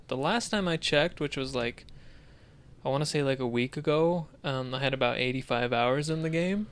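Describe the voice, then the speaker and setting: A man's voice: mid-range voice, fairly bright tone, a little hiss or hum. A man co-hosting a video game podcast in a casual, conversational way. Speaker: podcast co-host. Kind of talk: casual podcast chat about video games.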